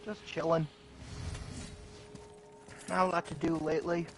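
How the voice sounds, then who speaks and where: A man's voice: on the high side, level tone, unhurried. A man in his thirties chatting offhandedly with friends while gaming. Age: 30s. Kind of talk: casual chat while gaming. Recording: game music behind.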